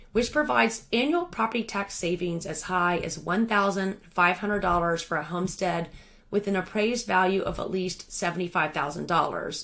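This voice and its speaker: A woman reading a written article aloud as scripted narration, in a measured, formal manner. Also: medium pitch, a bit bright.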